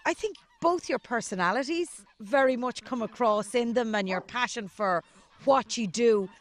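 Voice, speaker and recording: A woman's voice: fairly high pitch, moderate pace. A woman radio presenter with an Irish accent, asking curious questions during an interview recorded outdoors. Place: outdoors on a farm. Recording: faint outdoor noise, geese honking.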